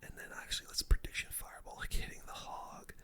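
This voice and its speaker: Casting: ASMR gaming streamer, fully whispered, breathy and bright, close-miked calm play-by-play. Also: fast. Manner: calm running play-by-play, muttering. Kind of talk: whispered ASMR game commentary. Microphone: close microphone.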